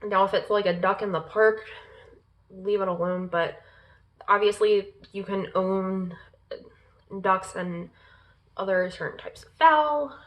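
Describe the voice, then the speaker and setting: A woman vlogger reading a long list aloud, pausing often with ums and uhs and stumbling over unfamiliar names. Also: mid-range voice. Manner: slow, careful reading pace. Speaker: pagan lifestyle vlogger. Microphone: laptop in front of her.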